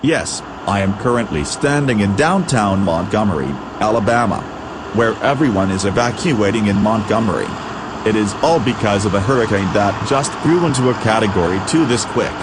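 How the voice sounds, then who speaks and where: Man playing a field reporter in loud traffic. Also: lowish voice, expressive, normal speed, close to an everyday voice. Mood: urgent, earnest. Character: male TV field correspondent reporting an evacuation, earnest. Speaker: field correspondent. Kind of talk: scripted breaking news report. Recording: loud outdoor traffic noise.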